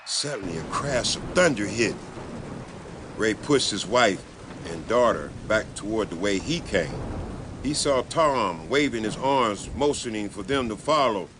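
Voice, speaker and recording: A man's voice: on the low side, expressive, unhurried, background noise. A man giving a dramatic scripted reading as an audiobook narrator.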